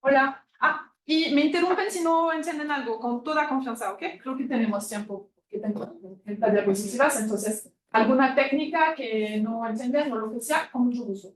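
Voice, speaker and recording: French-accented woman, higher voice, friendly halting lecture, distant echoey room. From France. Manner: friendly, hesitant, many fillers and self-corrections. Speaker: immunology researcher giving a guest lecture. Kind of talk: university lecture.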